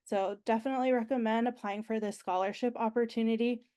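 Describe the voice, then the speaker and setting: A woman's voice: fairly high pitch, fairly even intonation, fast, mellow, clean tone. Chatty young woman with a nasal voice. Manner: chatty and enthusiastic, frequent ums and likes. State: recovering from being sick. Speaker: university alumni relations staff presenter. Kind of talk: orientation presentation.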